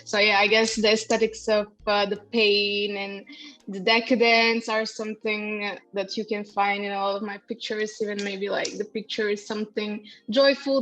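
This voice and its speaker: A woman's voice: mid-range voice, fairly bright tone. A young woman from Bulgaria, a photographer speaking as an interview guest, reflective and candid with light laughs. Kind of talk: casual interview conversation. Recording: dull lo-fi sound with faint music.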